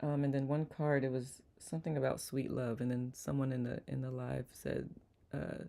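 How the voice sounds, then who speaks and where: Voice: mid-range voice, little pitch movement, moderate pace, vocal fry. A meditation livestream host chatting casually, greeting viewers by name, reading their comments aloud and laughing easily. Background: soft guitar music.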